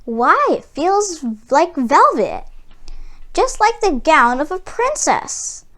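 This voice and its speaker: A polite young man from a fairy tale, played by a woman reading aloud. He is mild and hesitant, with a high, lilting delivery. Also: big pitch swings, slow, fairly bright tone, heavily acted. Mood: doubtful, gentle.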